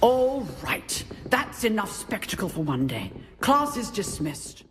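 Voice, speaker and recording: A woman's voice: medium pitch, sing-song, measured pace, slight background noise. A woman voicing a strict, demanding female magic professor, with a formal, commanding delivery. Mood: stern. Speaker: professor teaching dueling. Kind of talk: video game voice acting.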